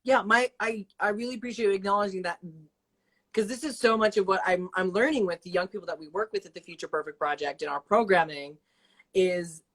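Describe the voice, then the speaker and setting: A woman's voice: mid-range voice, brisk. Affirming young interviewer woman. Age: 20s. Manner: warm affirming interviewer, thoughtful with many fillers. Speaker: host. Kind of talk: casual interview chat. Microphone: video call.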